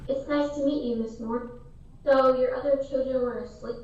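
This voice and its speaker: High-voiced, very echoey female field reporter, acted. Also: fairly even intonation, moderate pace, a little mellow, slight background noise, close to an everyday voice. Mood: polite, formal. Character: polite, inquisitive female news field reporter. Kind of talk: radio play news interview. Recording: very echoey room, distant muffled mic.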